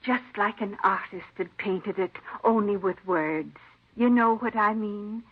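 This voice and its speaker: An actress playing a folksy, forgetful, kindly elderly aunt who rambles in an old radio drama. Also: female voice, mid-range voice, a little slow, close to an everyday voice. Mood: proud, doting. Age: older. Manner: rambling, folksy rural phrasing. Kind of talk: 1950s radio drama acting. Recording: dull old radio recording.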